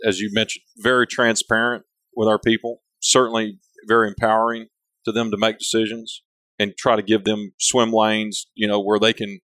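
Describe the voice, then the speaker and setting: A big-voiced man with a lowish pitch talks openly as an interview guest. He rambles, circles back and restarts his thoughts mid-sentence. The sound is close and dry, with no room echo. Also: little pitch movement, moderate pace, a bit bright. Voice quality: big voice, big personality. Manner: rambling, self-interrupting, candid. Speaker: company leader, interview guest. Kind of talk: casual conversational interview.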